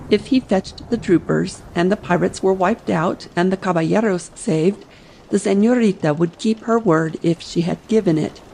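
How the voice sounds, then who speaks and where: A woman's voice: on the low side, vocal fry, warm tone, a little hiss or hum, low-quality recording. A woman narrating a story in a scripted audiobook style meant for bedtime listening. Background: ambience under the voice.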